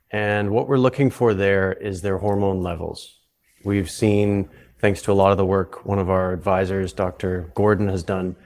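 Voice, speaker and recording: A man with a Canadian accent, a guest on an interview podcast, explaining his work in a relaxed way and often restarting his sentences. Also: low voice. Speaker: guest, psychedelic retreat founder. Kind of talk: conversational interview.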